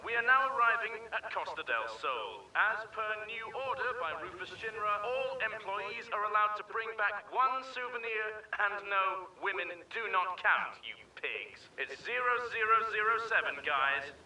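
Guy doing officious army pa announcer, high bright voice, echoey speaker, kinda scolding. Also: lively intonation, normal speed, hardly any pauses, recorded from a distance, slight background noise, heavily acted. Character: officious military announcer scolding his staff. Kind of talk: cartoon parody announcement.

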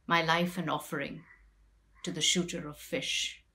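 A middle-aged woman voicing a wronged, bitter and sorrowful mythical queen in a measured, deliberate dramatic poetry recitation with hushed phrases. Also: low voice, fairly even intonation, heavily acted. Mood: solemn, bitter. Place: small plain room indoors.

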